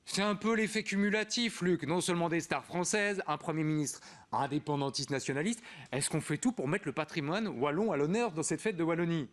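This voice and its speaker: A man hosting a TV talk show, prompting panelists with lively questions. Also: fairly high pitch, a little fast. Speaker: host.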